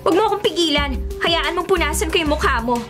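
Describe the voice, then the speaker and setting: An actress playing a feisty, expressive young Filipino college woman, a mayor's bratty daughter, in a radio drama. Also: very high voice, brisk. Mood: scolding, exasperated. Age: young adult. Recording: loud music under the voice.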